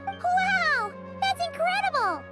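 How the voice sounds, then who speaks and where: A woman voicing a small, cheerful, boastful fairy companion in a video game, with a squeaky childlike voice and perky, theatrical delivery. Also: big pitch swings, slow, loud music behind the voice, full character voice. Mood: impressed. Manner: perky, theatrical, self-important. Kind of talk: animated video game character acting.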